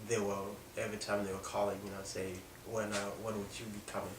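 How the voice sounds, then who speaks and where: A young man with a South Sudanese accent and a low voice tells his own story off the cuff. He speaks haltingly, often breaking off mid-sentence. The recording is roomy and echoing, picked up at some distance from the mic. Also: level tone. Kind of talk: personal storytelling, unscripted. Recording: echoey room, distant mic, faint noise.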